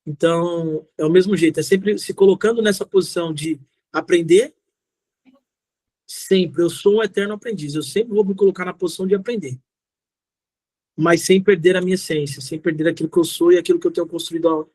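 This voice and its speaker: A middle-aged man with a Brazilian accent and a rather high voice, recorded dry and close. He talks like a motivational guest speaker, informal and direct, driving key phrases home by repeating them. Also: fairly even intonation, a little fast, a little mellow, dry recording. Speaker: guest speaker, social entrepreneur. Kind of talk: motivational talk answering audience questions.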